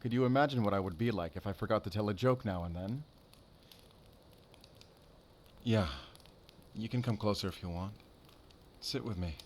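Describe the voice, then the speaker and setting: A man playing a brooding, tender but guarded werewolf hunter in an intimate audio roleplay, speaking softly and haltingly. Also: lowish voice, noisy background, lightly acted. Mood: tender, wry. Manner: soft, halting, intimate, earnest delivery.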